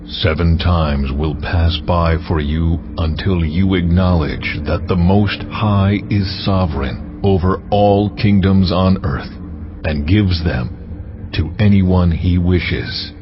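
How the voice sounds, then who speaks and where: A man voicing a solemn, commanding voice from heaven, pronouncing judgment with deliberate weight in a dramatized scripture recording. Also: low-pitched. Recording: muffled, with prominent music.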